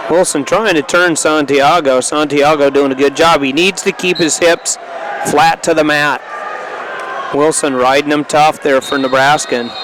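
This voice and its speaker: A man's voice: on the high side, steady tone, normal speed, noisy background. A man working as a radio commentator, giving casual live wrestling commentary in short, folksy remarks that he tends to repeat. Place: indoor wrestling tournament venue.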